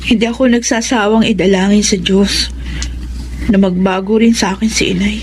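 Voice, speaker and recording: A woman's voice: mid-range voice, fairly even intonation, moderate pace, darkish tone, natural voice. An actress playing a patient, dutiful, modest young working woman in a Filipino radio drama, on a noisy track. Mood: resigned. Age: young adult.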